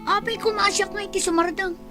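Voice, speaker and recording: High-voiced, grumbling young daughter. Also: female voice, steady tone, fairly quick, over music. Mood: complaining. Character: reluctant, complaining young daughter avoiding farm work. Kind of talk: radio drama acting.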